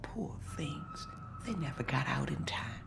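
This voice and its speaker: An actress playing a kindly, forgetful elderly former nurse in a video game, breathy, quavering and wandering as she talks. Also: a bass voice, animated, measured pace, whispered, heavily acted. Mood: haunted, remembering. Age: older. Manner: wandering, doddering.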